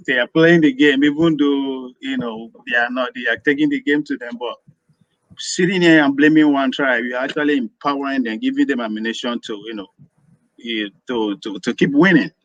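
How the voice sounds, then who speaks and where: A man's voice: mid-range voice, moderate pace, no echo, highs cut off. A Nigerian man calling in to a live audio discussion, arguing in a rambling way that often repeats words. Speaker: caller.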